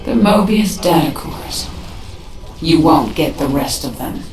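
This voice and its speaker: A menacing video game swarm queen with an extremely low voice. She taunts coldly and slowly, her words echoing over music. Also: expressive, far from the mic, a little hiss or hum. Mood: cold taunting. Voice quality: dark, echoing. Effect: echo processing. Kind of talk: video game dramatic acting.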